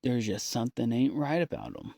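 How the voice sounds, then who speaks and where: A man recording a rambling, confessional audio diary in a halting, downbeat, informal way with long pauses. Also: medium pitch, wildly swooping pitch, gravelly, mellow, no echo, studio quality. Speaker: audio diarist.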